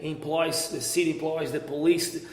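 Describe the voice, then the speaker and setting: Male interview guest, Brazilian accent, slightly high voice, conversational, roomy echo. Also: fairly even intonation, moderate pace. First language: Portuguese. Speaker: interview guest, golf center business owner. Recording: echoey, reverberant room.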